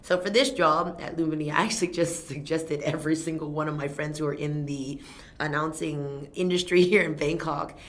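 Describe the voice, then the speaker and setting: A young Thai woman, a fight event announcer, chatting casually in an interview, telling animated stories with frequent laughs. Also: deep voice, moderate pace. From Thailand.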